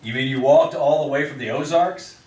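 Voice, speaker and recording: A man playing a steady, stern frontier lawman in a radio western, speaking plainly and firmly. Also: medium pitch, moderate pace, lightly acted. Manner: plain, firm, matter-of-fact. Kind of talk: old-time radio drama acting on stage. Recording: echoey live stage, distant, faint noise.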